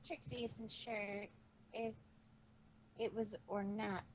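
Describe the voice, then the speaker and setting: A woman voicing a proud but guilt-ridden female cartoon pony, brooding and halting as she questions herself, in an amateur story reading. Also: medium pitch, level tone, close to an everyday voice. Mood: dejected. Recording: noisy amateur microphone recording.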